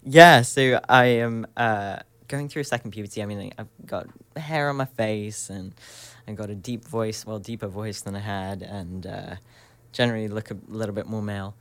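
A young man in his twenties talks thoughtfully as a radio guest, pausing often with ums as he searches for words. His voice is bright and forceful yet sits fairly low, and he laughs easily between thoughts. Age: 20s. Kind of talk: reflective radio interview talk.

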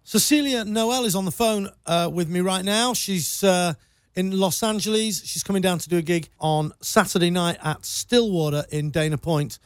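A male radio host interviewing a guest in a studio, easygoing and polite, a little halting. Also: high voice, clear, resonant tone, dry recording. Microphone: studio microphone.

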